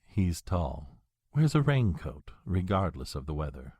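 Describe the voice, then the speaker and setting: An actor playing an old, courtly and menacing male vampire in an audio drama, speaking with a suave, husky voice. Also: deep voice, a little slow, no echo, clean studio sound, exaggerated voice. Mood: silky. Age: older. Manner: suave, flattering, then threatening.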